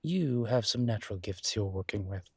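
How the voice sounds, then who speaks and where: A man playing a suave, flirtatious demon professor in an intimate audio roleplay, speaking in a courtly, teasing way with formal wording and hesitant pauses. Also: lowish voice, lively intonation, husky, no echo. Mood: flustered, charming.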